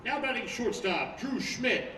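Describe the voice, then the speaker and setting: A man making formal stadium announcements over a PA loudspeaker. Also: extremely high pitch, expressive, unhurried. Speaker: stadium public address announcer. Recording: echoey distant stadium PA, music behind. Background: stadium music.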